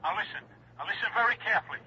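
A man playing a fussy, excitable American insurance agent on a filtered telephone in a 1960s radio drama. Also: sits high, rapid-fire, dull audio. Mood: urgent, excited. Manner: fussy, stammering, breathlessly insistent. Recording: thin, noisy old radio phone effect.

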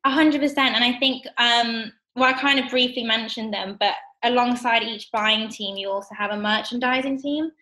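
A woman's voice: on the high side, steady tone. A young woman in her twenties, a guest explaining her job in a casual chat with lots of "kind of" and "like". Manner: bright, quick, lots of fillers like "kind of" and "like". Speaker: guest, assistant buyer. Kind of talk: casual interview.

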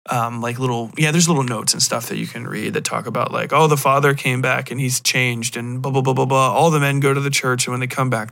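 A man's voice: mid-range voice, fairly bright tone. A man hosting a podcast, talking casually and discursively about video games, swearing freely and using lots of slang. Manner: profane, slangy, halting and discursive. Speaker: podcast host. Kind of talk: casual rambling video game review.

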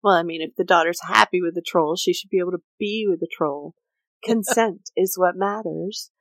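A woman's voice: on the low side, moderate pace, no room sound, low-quality recording. Woman, podcast host, casual discussion, jokey and informal, very dry close sound. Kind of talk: casual scripted discussion of folklore.

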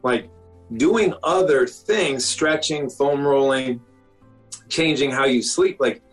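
A man in his forties, a doctor, talking casually in an interview, often checking in with "okay?" and "you know?" and clearing his throat now and then. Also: mid-range voice, slow, soft background music, rough recording. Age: 40s. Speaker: doctor, guest.

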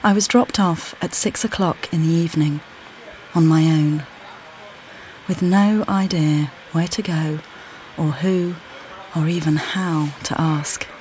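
An actress playing a weary, displaced mother who calmly guides the listener in a walking play, speaking plainly and directly to them. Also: sits low, slow, a little hiss or hum, rough recording. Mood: reflective. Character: displaced mother, weary and uncertain, far from home. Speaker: audio guide narrator. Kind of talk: immersive walking-play narration.

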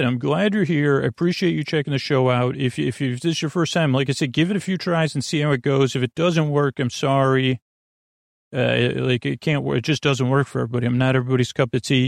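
A man with a creaky voice hosting a sleep podcast, rambling in a soothing, halting way and stammering over repeated words. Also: medium pitch, dry recording, studio quality. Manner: soothing, lulling. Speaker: podcast host. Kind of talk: rambling bedtime monologue to lull listeners to sleep.

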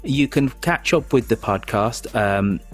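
A man hosting a political podcast, speaking in a measured, thoughtful way. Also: medium pitch, lively intonation, soft background music. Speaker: podcast host.

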